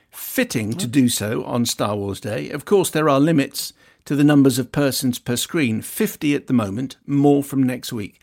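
Creaky-voiced male radio presenter. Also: mid-range voice. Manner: wry, conversational asides. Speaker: radio film show host. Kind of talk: chatty presenting, reading listener emails aloud.

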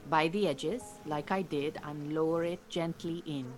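Low voiced iranian woman, patient capable beekeeper teacher, audio drama, music behind. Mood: calm, instructing. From Iran. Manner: measured, reassuring instruction. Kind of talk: naturalistic audio drama acting.

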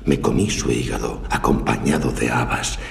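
An actor dubbing an older male cannibal psychiatrist in a film scene, slow, cold and probing. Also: extremely low pitch, steady tone, gravelly, full character voice. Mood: coldly mocking. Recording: echoey film audio over loud music.

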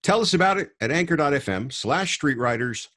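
A man's voice: fairly high pitch, lively intonation, a little fast, a bit bright, a little hiss or hum. A man co-hosting a podcast, talking about books in a thoughtful, explanatory, conversational way. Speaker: podcast co-host. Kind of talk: conversational podcast book talk.

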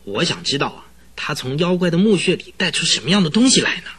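An actor in a radio drama playing an excitable, easily frightened horse trainer who tells things in a husky, rambling, dramatic way. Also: male voice, a little high, quick pace, full character voice. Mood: agitated, secretive. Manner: rambling, dramatic storytelling full of interjections.

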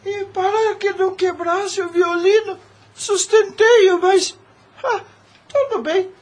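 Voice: steady tone, slow. An adult performer playing a poor, tender-hearted little brother in a radio drama, childlike and plaintive. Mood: whimpering, brave. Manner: childlike, plaintive, high-voiced.

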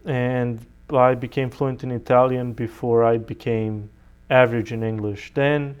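A young man from Serbia whose first language is Serbian speaks in a clean, smooth voice at a medium pitch. He is a college student giving conversational answers as an interview guest. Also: flat delivery, unhurried, dull audio. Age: young adult.

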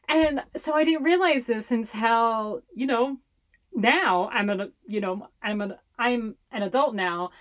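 A woman's voice: a little high, slow pace, long pauses. Young Hawaiian-accented woman, Pidgin first language, chatty interview guest who laughs easily. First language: Hawaiian Pidgin English. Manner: chatty, laughs often while talking. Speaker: public health communicator, guest. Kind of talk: casual interview talk.

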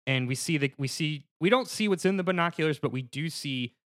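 Man narrating a comedic movie pitch, dry close sound. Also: fairly quick, a bit bright, no echo. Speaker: podcast panelist pitching a movie. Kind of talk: casual comedic story pitch.

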